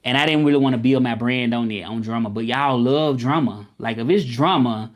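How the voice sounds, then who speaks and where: A man's voice: mid-range voice, brisk. A man hosting a casual live stream, chatting loosely with viewers and often repeating himself. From Memphis, United States. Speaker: livestream host.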